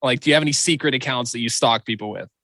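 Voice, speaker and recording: A man's voice: mid-range voice, very fast, bright, forceful tone, no room sound. A man hosting a radio show, asking easygoing, enthusiastic questions in a casual interview. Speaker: radio host.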